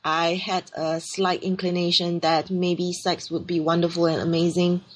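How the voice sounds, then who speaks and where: A woman's voice: lowish voice, flat delivery, moderate pace. A woman with a Singaporean accent hosting a radio talk show on her own, explaining earnestly and sometimes restarting her phrases. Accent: Singaporean English. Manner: earnest, explanatory, reads aloud then speaks freely with restarts. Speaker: radio show host and sexologist.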